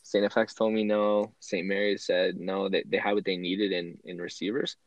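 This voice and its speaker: Young male guest from Canada, low voice, relaxed and thoughtful, dry close mic. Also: normal speed, no echo, low-quality recording. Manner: relaxed, thoughtful, frequent ums. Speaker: guest, former university football player. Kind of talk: interview storytelling.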